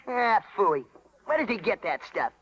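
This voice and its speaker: A man playing a cocky, sneering street boy with slangy, gravelly delivery in 1930s radio style. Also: sits high, big pitch swings, measured pace, fairly bright tone, heavily acted. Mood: scornful. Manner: slangy, brash. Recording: muffled 1930s radio broadcast.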